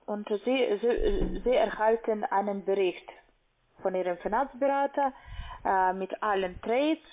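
Woman with foreign accent on tinny phone line. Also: mid-range voice. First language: not German. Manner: halting, searching for words. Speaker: call-center investment agent. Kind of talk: phone sales conversation. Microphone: telephone.

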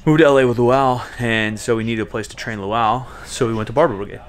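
A young man in his twenties casually vlogging to a handheld camera from a small cabin on a cruise ship, relaxed and slangy with lots of fillers. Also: mid-range voice, a little fast. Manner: laid-back, slangy, many fillers. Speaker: fitness vlogger. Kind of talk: casual vlog talk to camera. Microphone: handheld camera mic at arm's length.

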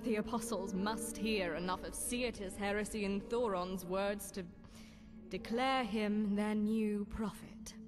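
A woman's voice: medium pitch, fairly even intonation, over music, natural voice. Solemn, formal demon warrior played by a woman. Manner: measured, formal. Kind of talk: scripted game dialogue.

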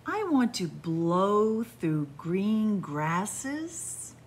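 A middle-aged woman librarian reading a picture book aloud to young children in a library, in a sing-song way with soft hushed asides. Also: mid-range voice, very animated, extremely slow, a little hiss or hum. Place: library with bookshelves, indoors.

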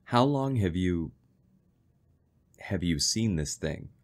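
An actor playing an earnest, concerned fiance who recounts a strange tale in a radio drama. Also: male voice, sits low, lively intonation, takes it slowly. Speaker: lead actor and first-person narrator.

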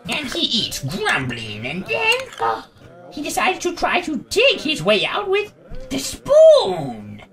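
A woman narrating a lively story recap in an animated, playful manner. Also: very high-pitched, slow pace, creaky. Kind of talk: storytelling recap of an audio drama. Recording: loud background music.

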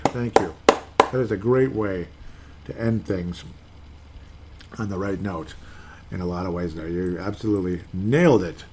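A man's voice: lowish voice, a little mellow, slight background noise. A man hosting a casual solo podcast, reading out listener comments in an easygoing, rambling way and correcting himself as he goes. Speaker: podcast host.

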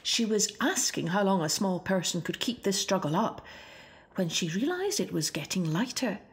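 A woman's voice: mid-range voice, sing-song, normal speed. A woman narrating a children's storybook expressively. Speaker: storybook reader.

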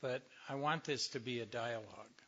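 An older man, a little hoarse, giving a slow, reflective talk to a recovery meeting with many pauses. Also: medium pitch, a bit bright, muffled recording. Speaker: speaker at a recovery workshop.

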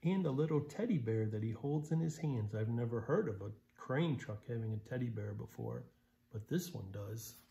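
A man's voice: medium pitch, lively intonation, moderate pace, gravelly, dark tone. A middle-aged American man reading a picture book aloud, expressively, with simple explanations for young children. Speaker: volunteer story reader. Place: small living room, indoors on a sofa.

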